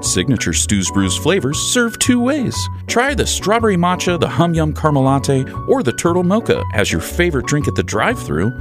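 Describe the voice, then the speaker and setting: A man reading a radio ad for a café in a playful, animated manner. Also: medium pitch. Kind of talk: radio ad read.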